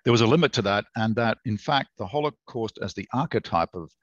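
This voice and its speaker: A man in his fifties with an Australian accent, answering questions as an author in an academic conversation, measured and thoughtful with frequent fillers and restarts. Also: fairly low pitch, lively intonation, no room sound. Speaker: author and historian guest. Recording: dry, dull lo-fi sound.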